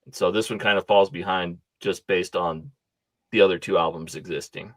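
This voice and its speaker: A man's voice: deep voice, fairly even intonation, normal speed, fairly bright tone, no room sound. A man on a podcast chatting casually in a laid-back, hesitant way with many ums. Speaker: podcast guest.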